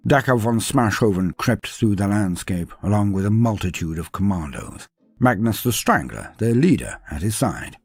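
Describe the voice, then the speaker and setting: A man's voice: lowish voice, lively intonation, moderate pace, breathy edge, no room sound. A man narrating a scripted fantasy story in a theatrical, florid and whimsical way. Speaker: narrator of fantasy lore stories.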